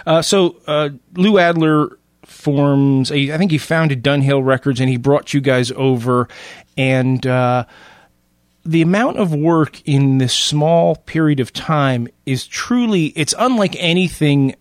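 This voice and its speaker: A man's voice: fairly high pitch, slow pace, no echo, clean studio sound. A male radio host interviewing a guest, asking long, enthusiastic questions.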